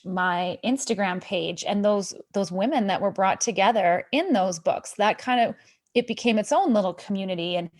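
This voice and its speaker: A woman guest, an author and educator, chatting lively about her own story in a podcast interview. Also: mid-range voice. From Canada.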